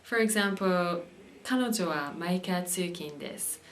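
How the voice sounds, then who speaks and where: A young woman hosting an English lesson show and reading the news in a clear, steady way. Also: fairly low pitch, normal speed, a little hiss or hum. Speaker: English teacher and show host.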